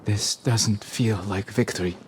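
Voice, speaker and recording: A man's voice: lowish voice, a little slow, natural voice. Man acting a troubled young samurai, noisy backdrop. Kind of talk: dramatic video game cutscene acting.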